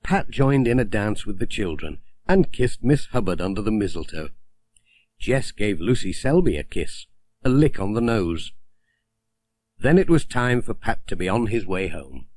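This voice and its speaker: A man narrating a children's story as an audiobook reader, in a gentle, expressive storytelling manner. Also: fairly low pitch, normal speed, dry recording.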